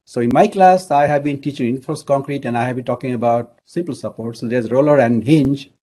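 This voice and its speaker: Man, middle-aged, from Bangladesh, engineering lecturer, measured and halting, warm mellow tone. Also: mid-range voice. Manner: measured, uses many fillers. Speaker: engineering professor. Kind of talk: online lecture.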